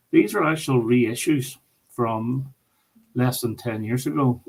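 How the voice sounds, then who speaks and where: A man's voice: mid-range voice, low-quality recording. A man in a casual chat about record collecting, speaking in an opinionated, emphatic way.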